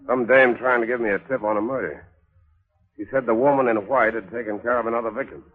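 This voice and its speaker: A man's voice: medium pitch, steady tone, quick pace, breathy edge, lightly acted. An actor playing a brash, eager, slangy newspaperman in a 1940s radio drama. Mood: urgent. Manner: hard-boiled, urgent, slangy. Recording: thin, narrow old radio recording.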